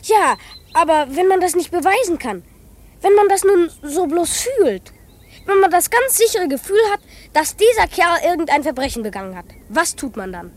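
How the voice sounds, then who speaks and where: A woman's voice: extremely high pitch, lively intonation, normal speed. A woman playing an earnest, proud twelve-year-old boy detective in a children's radio play, with a dramatic delivery. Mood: insistent, offended.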